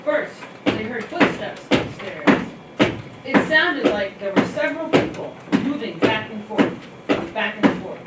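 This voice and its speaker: A woman's voice: on the high side, expressive, measured pace. A woman giving a dramatic reading of a spooky story. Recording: very noisy, echoey, distant microphone.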